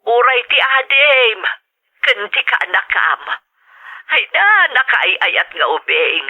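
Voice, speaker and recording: A woman's voice: a little high, big pitch swings, takes it slowly, phone-quality sound, heavily acted. An actress with an Ilocano accent playing a worried, loving older mother back home, tender and fretful, heard through a telephone filter in a radio drama. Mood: fond, longing. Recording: thin, band-limited telephone sound.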